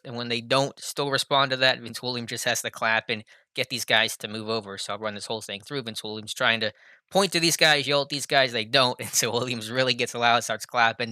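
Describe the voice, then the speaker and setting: A man's voice: on the low side, bright, forceful tone, no room sound. An American man giving casual film breakdown commentary as a sports analyst, conversational and enthusiastic, with a self-deprecating streak. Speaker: sports analyst and video host.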